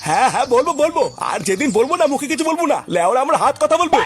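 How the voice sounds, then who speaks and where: A fussy older cartoon man, with a startlingly high voice that swoops up and down. He fusses and scolds at breakneck speed in comic dub style. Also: sing-song, very fast, faint music behind. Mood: grumbling. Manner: fretful, scolding. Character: fussy, agitated older cartoon father or grandfather. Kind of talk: Bengali comedy cartoon dub.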